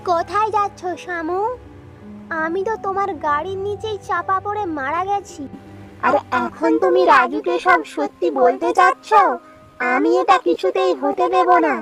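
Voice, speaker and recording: A cartoon ghost wife voiced by a woman, with a piercingly high, girlish pitch. She is possessive and menacing, given to dramatic threats and pleas, with music playing behind her. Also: normal speed, a bit bright. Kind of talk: children's cartoon voice acting.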